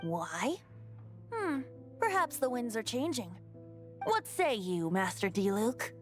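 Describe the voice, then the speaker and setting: A woman's voice: on the high side, very animated, slow pace. A woman voicing a playful, teasing boy bard in a video game, breezy and theatrical. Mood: knowing. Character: playful, cheeky boyish bard, secretly an ancient spirit. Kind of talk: video game cutscene acting. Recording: game music behind the voice. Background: background music.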